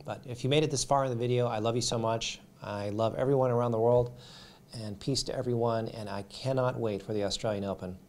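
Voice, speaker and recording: An American man with a slightly raspy voice gives casual, unscripted sports commentary to viewers, often halting and repeating words. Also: mid-range voice, fairly even intonation, darkish tone, slight background noise. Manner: halting, repeats words and trails off mid-phrase. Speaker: YouTube sports commentator and host. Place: home studio.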